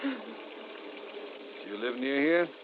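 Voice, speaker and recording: An actor playing a wary, sensible husband with a firm, dry manner in a 1940s radio thriller. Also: male voice, fairly high pitch, huge pitch swings, extremely slow, heavily acted. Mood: guarded. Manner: firm, dry, sarcastic when pressed. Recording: muffled, very noisy vintage radio recording.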